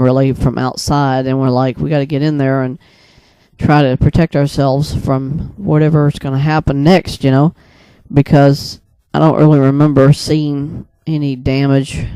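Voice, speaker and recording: A man casually recounting a dream in the first person, hesitant and rambling, often pausing mid-sentence. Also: medium pitch, mellow, smooth, dry recording.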